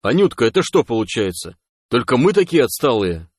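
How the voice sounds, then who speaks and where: A man voicing a gruff, bossy, hot-tempered male stalker in an audiobook story, barking and cursing in folksy phrases. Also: medium pitch, very animated, a little fast, darkish tone, no echo, clean studio sound. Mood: amazed. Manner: barking, colourful mock curses.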